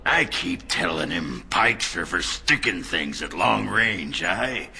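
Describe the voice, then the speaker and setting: Casting: boozy middle-aged dwarf, fantasy game. Gravel-throated and forceful, crude humor. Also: male voice, lively intonation, takes it slowly, heavily acted. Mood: gleeful mockery. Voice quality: very deep gravelly growl. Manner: coarse, teasing, blunt. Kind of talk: fantasy video game voice acting.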